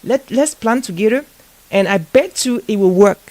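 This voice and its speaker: A man's voice: high voice, expressive, moderate pace, a little mellow. A man with an African accent hosting a conversational interview, speaking earnestly and repeating phrases for emphasis. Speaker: podcast host.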